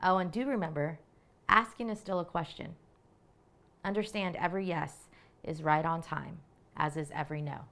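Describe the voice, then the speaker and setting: A woman hosting a literature segment, introducing it and reading from her own novella in an expressive way. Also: deep voice, moderate pace, creaky, long pauses. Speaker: segment curator and host.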